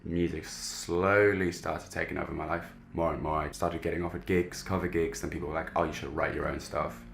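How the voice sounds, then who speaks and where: Low, gravelly young man from Germany. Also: steady tone, brisk, a bit bright, echoey room, soft background music. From Germany, moved to England at fifteen. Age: 20s. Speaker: busker, professional musician. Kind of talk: reflective documentary interview.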